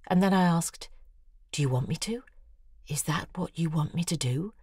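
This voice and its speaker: An actress playing a lovelorn, obsessive woman, narrating an intimate audio drama in a hushed, measured, confessional way. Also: deep voice, breathy edge, dry recording, clean studio sound. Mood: wistful, intimate. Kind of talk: intimate scripted first-person drama narration.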